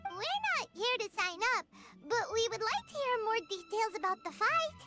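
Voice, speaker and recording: A woman voicing a small, inquisitive fairy companion in a video game, with a perky, childlike squeak. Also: animated, normal speed, loud music behind the voice. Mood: cheerful, eager. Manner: perky, exclamatory.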